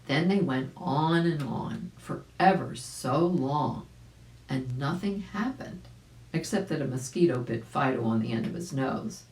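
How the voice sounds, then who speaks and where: An older woman around her seventies reading a children's story aloud, expressively and deliberately, indoors a few feet from the camera. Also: low voice, darkish tone. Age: older, about 70s. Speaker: volunteer storybook reader. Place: small indoor room at home. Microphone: camera a few feet away. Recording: roomy home recording.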